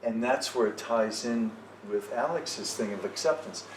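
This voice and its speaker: A man's voice: medium pitch, measured pace. A man hosting a casual, reflective group conversation in a thoughtful, hesitant way. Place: cafe tea house. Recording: echoey room, slightly noisy, distant mic.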